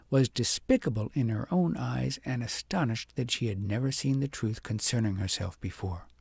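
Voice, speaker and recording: A man reading a fairytale audiobook in a dramatic, deliberate storytelling manner. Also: mid-range voice, creaky, darkish tone, husky, dry recording, clean studio sound. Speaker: audiobook narrator. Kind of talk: expressive scripted fairytale narration.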